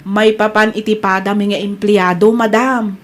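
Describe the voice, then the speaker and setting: A woman's voice: mid-range voice, level tone, normal speed, close to an everyday voice. A Filipino woman playing an indignant, earnest employee who complains on behalf of a co-worker in an audio drama. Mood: earnest, deferential. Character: female employee, indignant tattling sympathetic co-worker. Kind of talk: acted audio comedy-drama dialogue.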